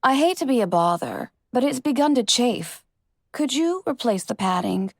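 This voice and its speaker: A woman voicing an elegant, compassionate mare singer with a soft-spoken, polite manner. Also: a little high, animated, normal speed, dry recording, studio quality.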